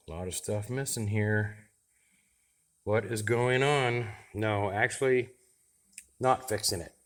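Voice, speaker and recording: A man with a somewhat low voice talks in an easygoing, unscripted way. He thinks aloud as he works, like a hobbyist showing his bench project to viewers. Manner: relaxed and chatty, thinking aloud while tinkering. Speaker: radio hobbyist vlogger. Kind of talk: casual how-to vlog.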